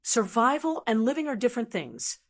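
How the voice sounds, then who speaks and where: A woman's voice: big pitch swings, brisk, creaky, full character voice. Woman reading an earnest, probing male teacher. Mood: pressing. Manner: low, pressing questions.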